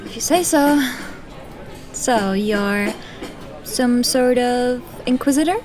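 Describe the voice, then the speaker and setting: Woman playing a high-voiced, guarded young woman. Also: takes it slowly, music in the background. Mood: worried, curious. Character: guarded, hesitant young woman, commoner in disguise. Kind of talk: fantasy audio drama acting.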